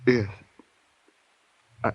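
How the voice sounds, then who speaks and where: An actor playing an injured, dazed male night watchman in an old-time radio drama, groggy with pain and speaking in halting, broken phrases. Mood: dazed, weak. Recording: muffled old radio recording.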